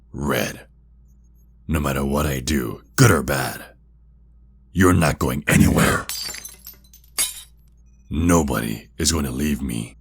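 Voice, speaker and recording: A man playing a possessive, menacing vampire kidnapper in an ASMR roleplay, speaking intimately and deliberately. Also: very deep voice, full character voice. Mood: taunting, controlling. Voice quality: gravelly, husky, breathy. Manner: slow, intimate, deliberate, with drawn-out pauses.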